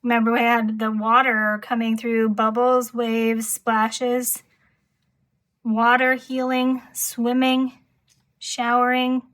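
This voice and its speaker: Woman tarot reader, fairly high voice, slow and even, a bit hoarse, gentle and reflective. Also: low-quality recording. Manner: calm, soft-spoken, reflective. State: slightly hoarse, losing her voice. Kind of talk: intuitive pick-a-card tarot reading.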